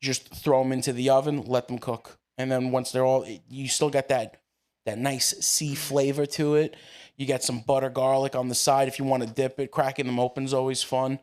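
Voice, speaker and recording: A man's voice: medium pitch, level tone, moderate pace, darkish tone. An Italian-American man co-hosting a casual chat, argumentative, swearing casually and laughing often. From United States. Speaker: podcast co-host.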